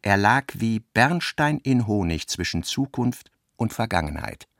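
A man's voice: fairly low pitch, big pitch swings, a little slow, fairly bright tone, dry recording, clean studio sound. A man reading literary prose as the narrator of a radio drama.

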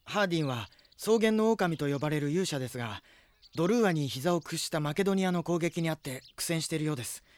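A man's voice: a little high, expressive, fast, dry recording, natural voice. A man playing an earnest, dutiful young knight in a Japanese radio drama, speaking formally. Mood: dutiful, concerned. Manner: formal, reporting politely.

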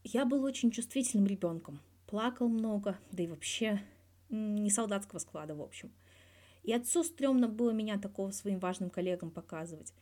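A woman's voice: mid-range voice, fairly quick, dark tone, lightly acted. A woman voicing a guarded male hockey star in his thirties, talking candidly and wryly in a scripted interview from a fan fiction audio reading. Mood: reflective. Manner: candid, wry, colloquial with swearing.

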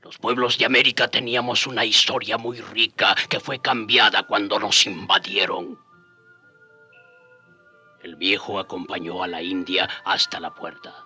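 Native island guy telling his story in a radio drama, very bright intense voice, lowish, earnest. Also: fairly even intonation, normal speed, breathy edge, faint music behind, full character voice. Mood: moved, reflective. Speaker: narrator. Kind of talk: dramatised first-person narration.

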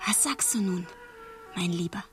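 An actress playing a passionate, wilful thirteen-year-old girl in love, in a literary radio play, with a breathy, husky, intense delivery. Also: fairly low pitch, expressive, a little slow, fairly bright tone, soft background music. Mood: urgent. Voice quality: breathy, husky, often near a whisper. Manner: intense, demanding, dramatic delivery.

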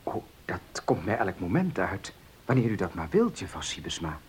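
An actor playing a courteous, eager, helpful gentleman in a Dutch radio play, formal and given to stammering repeats. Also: low voice, sing-song, normal speed, slight background noise.

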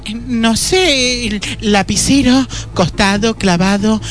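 A woman's voice: on the high side, slow. A woman acting a dim, hungry, chatty detective's secretary in a comic radio play, with an Argentine accent and a naive, exclamatory manner. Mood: flustered.

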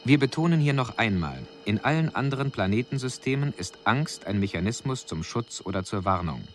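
An actor playing a stern alien investigator who delivers a formal, analytical report with emphasis. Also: male voice, mid-range voice, animated, a little fast, a little hiss or hum, natural voice. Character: stern alien investigator summarizing findings on humans. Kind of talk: formal scripted report in radio drama.

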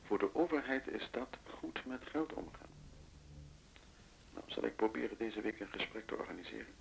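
An actor playing a measured, worldly former official giving advice in a radio play. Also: male voice, on the low side, lively intonation, creaky, a little hiss or hum, poor audio. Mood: calm, advisory. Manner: deliberate, advisory. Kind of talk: Dutch radio play acting.

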